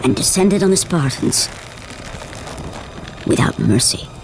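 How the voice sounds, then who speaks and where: A woman playing a grave storyteller of Greek myth, narrating an epic video game in a solemn, dramatic, deliberate way. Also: low-pitched, expressive, background noise. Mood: grave, ominous. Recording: orchestral game music behind voice.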